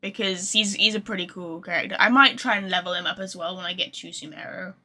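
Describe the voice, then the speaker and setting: A woman's voice: on the low side, steady tone, fast, sharp tone. A woman livestreaming a video game, chatting casually to viewers in a rambling, informal, sweary way.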